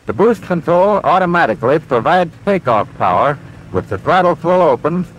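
A military flight instructor from a 1940s training film. His voice sits fairly high and swings animatedly in pitch. He explains step by step with patient confidence, heard on a vintage film soundtrack. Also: low-quality recording. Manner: clear, methodical instructional delivery.

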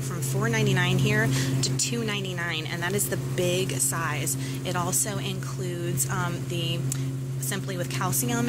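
A woman, chatting upbeat to viewers as she walks through store deals, then films from a parked car. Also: medium pitch. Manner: upbeat, talks straight to viewers with "y'all" and "guys". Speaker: couponing vlogger. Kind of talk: casual chatty deal walkthrough. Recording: noisy store and car background.

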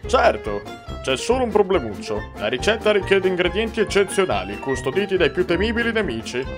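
A man's voice: sits high, very animated, brisk. A man voicing a grandiose, scheming ghostly chef in a cartoon fan dub, with florid, theatrical delivery. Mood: jovial, grand. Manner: florid, pompous wording. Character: grandiose, scheming ghostly male chef, genial turned menacing. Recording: music under the voice.

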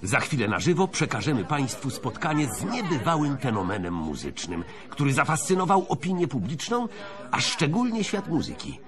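A man's voice: mid-range voice, huge pitch swings, moderate pace. An actor playing a formal, ceremonious male announcer introducing a live broadcast in a radio drama. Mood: solemn excitement. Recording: crowd noise behind the voice.

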